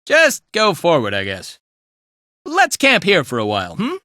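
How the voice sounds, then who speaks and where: A man's voice: a little high, wildly swooping pitch, measured pace, sharp tone, no echo, clean studio sound, full character voice. An actor playing a laid-back, playful male fighter in a video game, with an easygoing, casual drawl. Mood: laid-back, musing. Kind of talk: animated video game voice lines.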